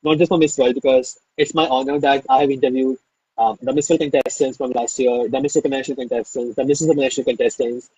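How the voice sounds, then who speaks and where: A man's voice: mid-range voice, fairly even intonation, fast, darkish tone, slightly rough, no room sound, low-quality recording. A man hosting a live online interview, enthusiastic and full of fillers, who keeps calling things amazing. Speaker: interview host.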